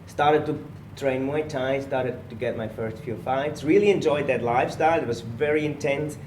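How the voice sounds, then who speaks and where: A man from Switzerland, a personal trainer telling his story as a guest in a relaxed interview recorded at a gym. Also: medium pitch, normal speed, reverberant, distant mic, slight background noise. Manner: relaxed storytelling, slightly non-native phrasing. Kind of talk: casual podcast interview.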